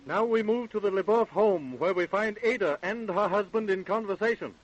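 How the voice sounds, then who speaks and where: A male announcer with a fairly high voice introduces scenes in a radio crime drama. His delivery is formal and scripted. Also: moderate pace, muffled recording.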